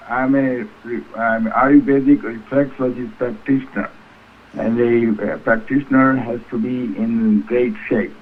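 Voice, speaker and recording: A man in his eighties from Tanzania, talking conversationally as an interview guest over the phone. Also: medium pitch. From Tanzania, East Africa. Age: 80s. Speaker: guest, reflexology practitioner. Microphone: phone call. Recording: noisy, tinny phone line.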